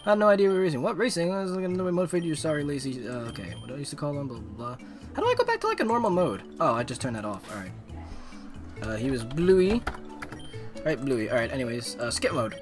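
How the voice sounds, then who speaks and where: A man live-streaming a game, giving excitable, casual, slangy commentary and reading on-screen text aloud. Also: a little high, fast talker, faint music behind.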